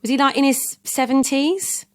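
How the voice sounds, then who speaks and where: A woman's voice: high voice, moderate pace. A British woman co-hosting a podcast in casual, cheeky, gossipy banter. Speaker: podcast co-host.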